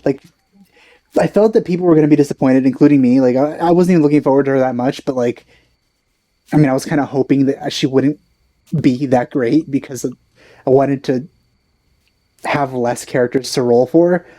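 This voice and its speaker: A man's voice: medium pitch, fairly even intonation, normal speed, long pauses, mellow. A man giving casual, informal, rambling gameplay reactions as a let's play host, gushing as he talks.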